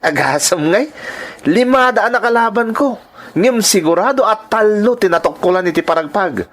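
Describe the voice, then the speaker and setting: Guy playing cocky filipino son who likes fights, high voice, bragging and defensive, audio drama. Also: animated, normal speed, a bit bright. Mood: boastful. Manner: boastful, defensive. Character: cocky, brawling son answering back to his mother.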